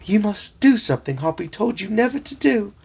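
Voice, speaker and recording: A man's voice: high-pitched, sing-song, brisk, creaky, a little mellow, slightly rough, voice pushed up, full character voice. A father voicing a talking frog, a fussy, servile little male sidekick who keeps calling people sir. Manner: servile, calls everyone sir.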